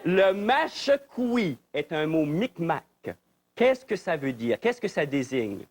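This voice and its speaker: A man's voice: fairly high pitch, sing-song, slow pace, a little hiss or hum. A man hosting a television game show in a chatty, jovial, exclamatory manner. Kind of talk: live presenting, chatty. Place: television studio.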